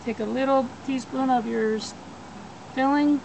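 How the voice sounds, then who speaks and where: Woman cooking instructor, fairly high voice, talks through each step in plain words; outdoor noise behind her. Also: steady tone, moderate pace, creaky, muffled recording. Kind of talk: cooking demonstration. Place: backyard outdoors.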